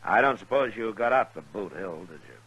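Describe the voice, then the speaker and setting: A low, husky American marshal from a vintage radio western. He is calm and steadfast, speaking in measured, laconic lines with a firm bright edge. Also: poor audio. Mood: calm, dry. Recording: vintage 1950s radio recording.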